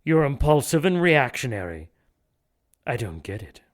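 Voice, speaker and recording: An actor playing a gloating, condescending villain bishop in an audio drama, with a pompous, taunting delivery. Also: male voice, medium pitch, sing-song, normal speed, lightly acted. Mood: taunting, smug. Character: gloating male villain bishop plotting apocalyptic sacrifice.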